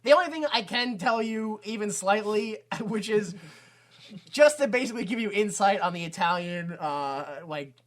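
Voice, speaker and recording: A man co-hosting a comedy podcast. He talks in a sarcastic, loose way and swears a lot. His pitch moves around a good deal. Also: lively intonation, moderate pace, fairly bright tone. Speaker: podcast co-host. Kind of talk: casual comedic chat.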